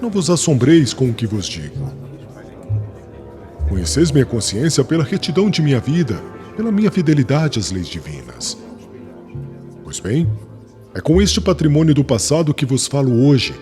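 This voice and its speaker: An actor with a Brazilian accent playing a fervent young former rabbi who preaches in a solemn, formal, declamatory way for a dramatized audiobook. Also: male voice, mid-range voice, natural voice. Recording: music bed under the voice.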